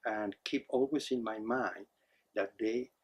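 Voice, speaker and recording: Husky low-voiced man. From Argentina. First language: Spanish. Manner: measured, hesitant, with frequent fillers. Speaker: psychotherapist. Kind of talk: introducing his therapy practice to camera.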